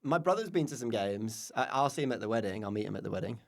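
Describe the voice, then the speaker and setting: A man's voice: fairly low pitch, expressive, fast, vocal fry. A man, a comedian guest on a podcast, chatting casually in a rambling, playful way and slipping into mock voices.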